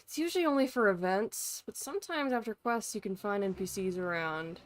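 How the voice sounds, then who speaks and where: A woman's voice: mid-range voice, lively intonation, a little fast. A woman live streaming a video game with casual, blunt, sweary, informal commentary.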